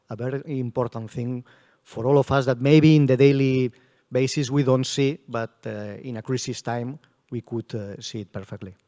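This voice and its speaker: A man with a mellow, dark voice answers on a conference panel. He speaks in halting stretches, pausing on "uh" as he searches for words, and his phrasing sounds non-native. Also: mid-range voice. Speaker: security panelist.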